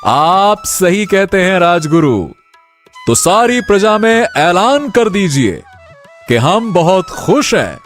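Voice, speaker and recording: An exuberant, generous king in a cartoon tale. His voice sits high and swoops wildly from note to note. He takes his words slowly and effusively, over soft music. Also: full character voice. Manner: exuberant, effusive, frequent laughter. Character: jubilant, generous king and proud expectant father. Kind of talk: Hindi cartoon story acting.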